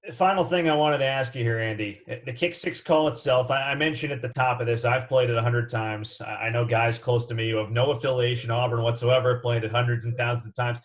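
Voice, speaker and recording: A man's voice: medium pitch, steady tone, fast, hardly any pauses. A man hosting a sports radio interview, speaking in an earnest, respectful way. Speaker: interviewer and host.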